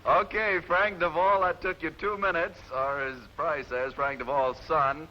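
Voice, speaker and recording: A man's voice: a little high, fairly even intonation, measured pace, lo-fi sound, a little hiss or hum. A man hosting a live game show with banter, on an old 1950s television broadcast.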